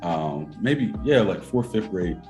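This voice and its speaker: Deep-voiced young man, rambling casual chat. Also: animated, takes it slowly, a little mellow, soft background music. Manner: loose and rambling, heavy on fillers and slang. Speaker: podcast co-host.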